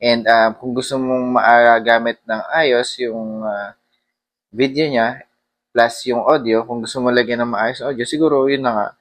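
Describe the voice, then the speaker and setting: A man's voice: mid-range voice, fairly even intonation, a little slow, a bit bright. A man giving a casual vlog review, talking informally and rambling with hesitations. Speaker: motorcycle vlogger. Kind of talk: casual vlog product review, mixing Tagalog and English.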